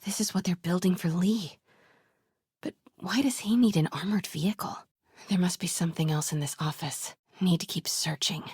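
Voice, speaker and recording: A woman voicing a plucky, curious young American reporter in a video game, with a breathy voice and a natural, wry delivery. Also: fairly low pitch, moderate pace, no echo, clean studio sound. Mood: hushed, focused. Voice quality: breathy, often hushed.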